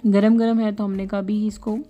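A woman narrates her home vlog in a chatty, explaining way, her voice mellow and fairly flat in pitch. Soft music plays faintly beneath her words. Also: normal speed. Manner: chatty, explaining step by step, "जी" fillers. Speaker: vlogger. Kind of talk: casual home vlog voice-over, product review.

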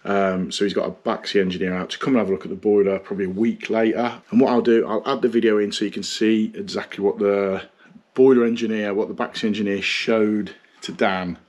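A man's voice: on the low side, steady tone, brisk, darkish tone. A man, a plumber vlogging frankly about a stressful job, swearing and rambling a little. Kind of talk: casual vlog with job commentary. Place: parked van, then a small bathroom.

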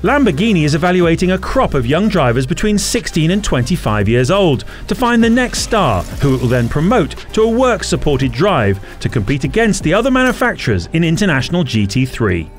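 A man narrating a motorsport feature as a reporter, in a lively, informative delivery. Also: a little high, fairly quick, few pauses, faint music behind. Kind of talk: scripted narration.

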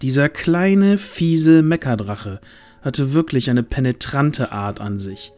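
A man's voice: mid-range voice, lively intonation, slow pace, darkish tone. A man narrating a scripted fantasy audio drama in a dramatic storytelling style.

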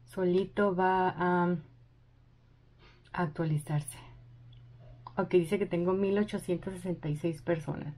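A young woman chatting excitedly in a casual vlog, thinking aloud as she goes. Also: lowish voice, slow, long pauses. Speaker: vlogger.

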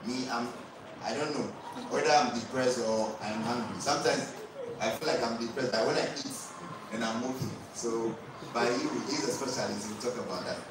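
A man's voice: medium pitch, moderate pace. A young man and student journalist, chatty and joking with many fillers, introducing speakers with a handheld mic at a seminar room lectern. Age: young adult. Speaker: event emcee, student journalist. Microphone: handheld microphone. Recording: echoey room, far from camera, noisy.

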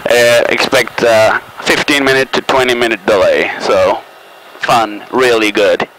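A man's voice: lowish voice, fairly even intonation, poor audio. A middle-aged man narrating and reading back as a pilot, in terse procedural phrases, on a headset in a small plane cockpit. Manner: terse, procedural callouts with pauses. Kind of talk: cockpit commentary and radio readbacks. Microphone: aviation headset. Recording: loud aircraft cabin noise, headset intercom.

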